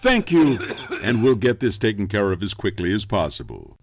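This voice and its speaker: An actor playing a polite, apologetic cinema announcer addressing patrons. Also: male voice, fairly low pitch, wildly swooping pitch, moderate pace, no room sound, heavily acted.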